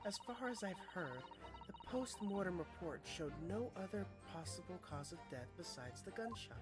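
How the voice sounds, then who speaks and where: A player voicing a haughty, condescending gentlewoman witness, reading game dialogue with friends. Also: medium pitch, lively intonation, moderate pace, over music, close to an everyday voice. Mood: dismissive. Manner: pompous and condescending.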